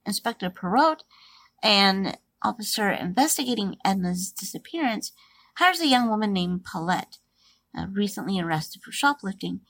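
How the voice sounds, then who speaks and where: Breathy-voiced woman podcast host. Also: medium pitch, no room sound, rough recording. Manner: steady recap read, loose and chatty with fillers after. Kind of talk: reading a plot summary, then casual commentary.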